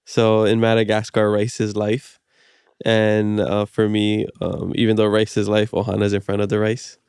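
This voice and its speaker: A podcast host from Hawaii, a man whose first language is Hawaiian, talks in a loose, rambling way. His voice sits a little low and comes across smooth and resonant. The sound is dry and close. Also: monotone, normal speed, a little mellow, no room sound. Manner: loose and rambling, full of "like" and "you know". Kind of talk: casual chat.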